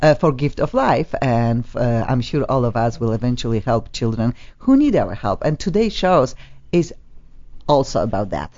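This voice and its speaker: A woman with a Polish accent hosts a talk show from a studio with a low voice that rises and falls widely as she talks. She is enthusiastic and doubles up her words for emphasis. The recording sounds a bit dull and lo-fi. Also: very animated, normal speed, warm tone. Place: studio on a top floor.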